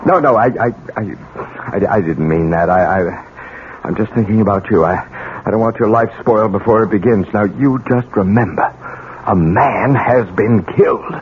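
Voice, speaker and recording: Guy acting as anxious american professor dad, lowish voice, pushy and stammery, thin old radio sound. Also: moderate pace, close to an everyday voice. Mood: urgent, pleading. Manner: stammering, insistent, urgent. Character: anxious, controlling professor father covering up a crime. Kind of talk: 1970s radio drama acting. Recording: muffled narrow-band old radio recording, hiss.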